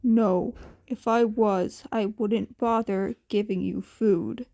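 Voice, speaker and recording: A woman voicing a blunt, protective grown man in a podfic story, with a dry, deadpan manner. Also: medium pitch, slow, gravelly. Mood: calm, reassuring. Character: gruff, dry but protective adult man, hero.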